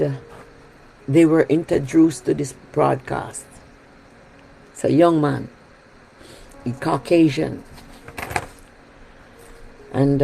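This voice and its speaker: A Jamaican woman pastor preaches with an unhurried, deliberate delivery. Her voice carries a gravelly edge, and she drives her points home by repeating key phrases with emphasis. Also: sits low, dark tone, a little hiss or hum, poor audio. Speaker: pastor leading a prayer broadcast. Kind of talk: sermon.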